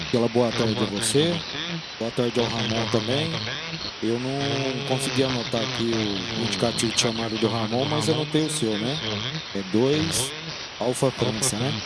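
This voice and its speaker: A Brazilian man with a mid-pitched voice speaks over ham radio. Clear noise runs through the transmission. He talks casually, like a new operator checking in with fellow hobbyists. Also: moderate pace. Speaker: amateur radio operator, newcomer.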